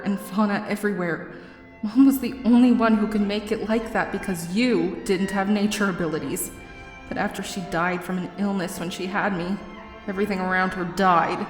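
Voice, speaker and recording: A woman's voice: mid-range voice, lightly acted. A woman playing an earnest, righteous young princess with a firm, pleading manner in an audio drama. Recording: echoing hall reverb.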